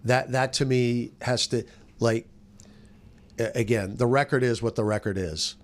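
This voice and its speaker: A man's voice: mid-range voice, steady tone, a little slow. An American man, a sports radio announcer, giving casual analysis on a roundtable discussion.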